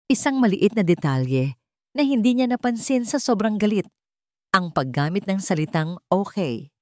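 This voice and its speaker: A woman's voice: medium pitch, lively intonation, moderate pace, mellow, no echo, studio quality. A woman with a Filipino accent narrating a scripted romantic drama as a storyteller.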